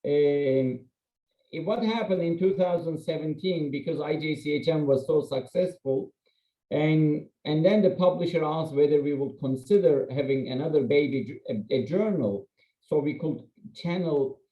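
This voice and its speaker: A man's voice: fairly high pitch, moderate pace. A man from Turkey, a non-native English speaker and journal editor, presenting an academic webinar in a candid, self-deprecating, conversational way with frequent fillers. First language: Turkish. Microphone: computer mic on video call. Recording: echoey, slightly muffled video-call audio.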